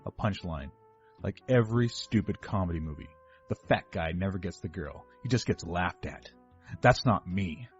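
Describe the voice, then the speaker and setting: An actor playing an overweight eighteen-year-old rich kid giving a rambling, defensive first-person confession to police. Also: male voice, on the low side, darkish tone, dull audio, lightly acted. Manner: rambling, self-correcting, defensive. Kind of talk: dramatic first-person monologue reading. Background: background music.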